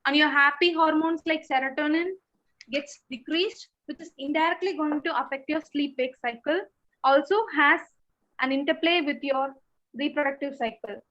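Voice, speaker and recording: An Indian English woman with a high, light voice gives a steady webinar lecture over a video call. The sound is dull and muffled. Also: normal speed. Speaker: consultant physiotherapist.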